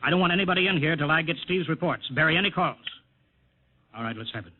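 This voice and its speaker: An actor playing a gruff, blunt older chief of a test airfield in a 1950s radio drama. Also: male voice, fairly high pitch, lively intonation, fast. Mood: impatient. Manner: gruff, commanding. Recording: tinny old radio broadcast with hiss.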